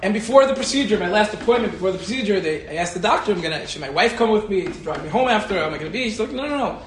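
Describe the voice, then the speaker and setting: A man, a rabbi, giving an animated Torah lecture and telling a personal story, repeating key phrases for emphasis. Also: high voice, racing, roomy sound, soft background music. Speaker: rabbi teaching a Torah class.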